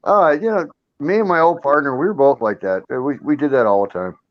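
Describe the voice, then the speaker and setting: Older retired cop chatting on a call. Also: male voice, medium pitch, fairly quick, no echo, dull audio. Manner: friendly, chatty, agreeable. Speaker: chat guest, retired police officer.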